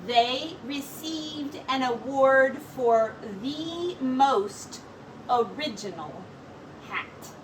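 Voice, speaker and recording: The recording is noisy and a little distant. A woman with a high voice reads a storybook slowly and expressively, like a teacher reading aloud. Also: lively intonation.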